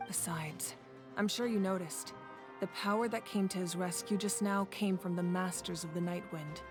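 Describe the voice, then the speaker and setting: An actress voicing a calm, commanding female ruler in a video game, speaking with measured authority. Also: on the low side, close to an everyday voice. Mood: commanding, resolute. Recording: loud game music behind.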